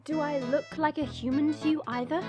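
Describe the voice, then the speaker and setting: Woman as a haughty high-voiced young dragon princess. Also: steady tone, a little fast, over music, lightly acted. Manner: haughty, petulant.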